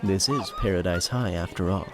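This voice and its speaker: A man's voice: low voice, moderate pace, a crowd in the background. A man narrating a scripted audio drama in a wry, teasing manner.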